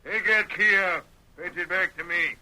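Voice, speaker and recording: An actor playing a domineering, crippled old frontier rancher with an American Western accent in an old-time radio western. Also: male voice, high-pitched, moderate pace, background noise, natural voice. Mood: cold, commanding. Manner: bossy, barking orders, folksy drawling speech. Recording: vintage radio drama recording.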